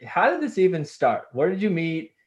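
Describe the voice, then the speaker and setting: A man co-hosting a podcast, asking questions over a video call in a relaxed, low-key way. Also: normal speed. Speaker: podcast co-host. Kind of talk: casual video-call interview. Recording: dull lo-fi call audio.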